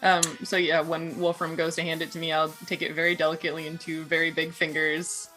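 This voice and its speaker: Low-voiced female player, casually describing character actions, music underneath. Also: level tone, fairly quick, sharp tone, rough recording. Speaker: tabletop role-playing game player. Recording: music behind the voice.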